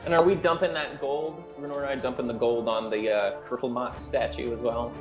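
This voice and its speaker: A man's voice: mid-range voice, fairly even intonation, brisk, muffled recording. A man chatting casually and slangily as a player in a tabletop game. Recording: roomy echo, music behind.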